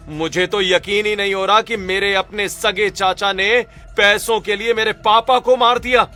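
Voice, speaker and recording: A man's voice: high voice, fairly even intonation, measured pace, sharp tone, faint music behind. A man playing an earnest young rich son-in-law in a dramatic, emphatic cartoon story style. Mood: stern, shocked. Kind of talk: Hindi cartoon story acting and narration.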